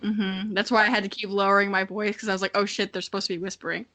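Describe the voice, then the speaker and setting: A woman's voice: medium pitch, little pitch movement, fast, pushed and bright. A young woman co-hosting a podcast in casual chat, giggly and self-deprecating, swearing freely. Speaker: podcast co-host.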